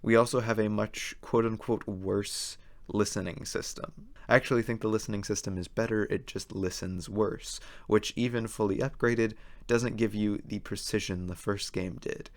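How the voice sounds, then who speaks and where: A man hosting a video essay in a casual, opinionated commentary style, his voice hoarse and worn as if he is losing it. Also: lowish voice, steady tone, normal speed.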